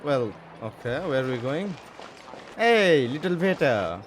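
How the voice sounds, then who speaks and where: A man's voice: mid-range voice, sing-song, a little slow, slight background noise. A man giving casual, jokey, rambling playthrough commentary, reacting aloud to a video game. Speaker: gamer commentator. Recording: game music behind voice. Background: game music.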